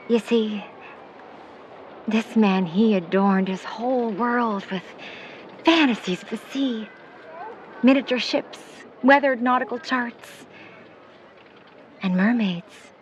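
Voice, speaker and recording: A woman playing a protective, skeptical middle-aged mother in a 1950s New York audio drama, speaking in formal, measured, wordy sentences. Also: medium pitch, slight background noise, close to an everyday voice, rough recording. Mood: wistful. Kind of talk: vintage-set audio drama acting.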